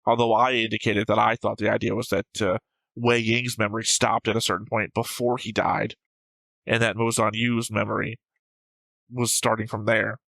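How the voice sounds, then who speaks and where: A man's voice: fairly low pitch, flat delivery, normal speed, gravelly, a bit bright, no room sound, poor audio. A man who hosts a reaction show, talking casually and discursively about a series, rambling and swearing freely.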